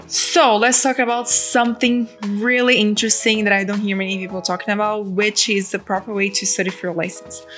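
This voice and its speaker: A woman's voice: medium pitch, fairly bright tone. Young woman, Brazilian Portuguese accent, mid-range voice, encouraging vlogger tone, faint music bed. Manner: earnest, encouraging, chatty. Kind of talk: casual direct-to-camera advice.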